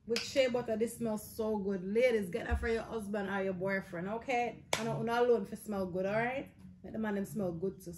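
A woman's voice: fairly high pitch, darkish tone, slight background noise. A woman in her thirties with a Jamaican accent, chatting casually to camera in Patois-inflected phrasing as a lifestyle vlogger.

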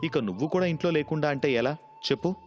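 A man's voice: on the high side, fast talker, in a large hall, lo-fi sound, faint music behind, natural voice. A man voicing a practical, dismissive husband and breadwinner who reasons through a moral story dialogue. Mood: reasoning, impatient.

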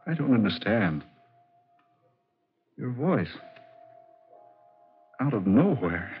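A man's voice: fairly low pitch, big pitch swings, takes it slowly, soft background music. An actor playing an anxious, bewildered male office clerk in a 1940s horror radio drama. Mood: doubtful, uneasy. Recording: dull vintage 1940s radio recording.

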